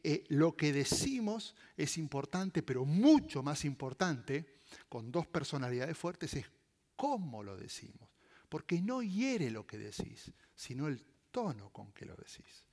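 A man preaching a sermon as a pastor in Latin American Spanish with voseo, emphatic and deliberate, repeating key phrases and swinging between loud and hushed delivery. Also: a little high.

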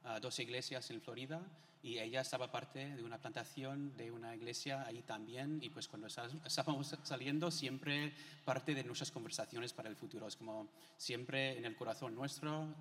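A man's voice: medium pitch, a bit bright. A middle-aged man from the United States, a missionary guest giving his testimony in an informal, conversational way. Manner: informal, peppers talk with Spain-style filler words. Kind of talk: conversational testimony in a church interview. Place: large indoor church hall. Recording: echoey hall with long reverb.

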